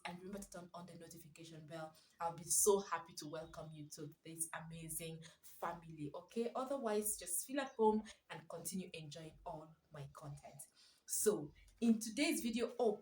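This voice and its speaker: A young woman with a Nigerian English accent, chatting to camera as a parenting vlogger at home, keeping her voice soft while her baby sleeps. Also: mid-range voice. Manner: warm chatty, speaks softly so the baby can sleep. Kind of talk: casual chat to camera. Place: room at home. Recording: echoey room.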